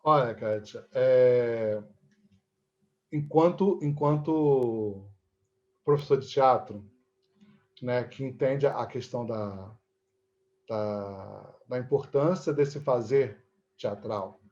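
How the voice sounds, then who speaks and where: A man's voice: mid-range voice, slow pace, long pauses, mellow, lo-fi sound. A man with a Brazilian Portuguese accent explaining things conversationally as an interview guest, often checking in with little tag questions. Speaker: interview guest, theatre teacher and actor.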